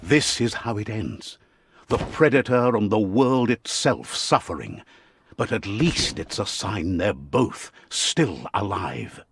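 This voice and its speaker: A man playing a wise, whimsical storyteller, narrating a fable video game in a husky, theatrical, philosophical manner that speaks straight to the listener. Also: fairly low pitch, animated, takes it slowly, a little hiss or hum. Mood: solemn, wise.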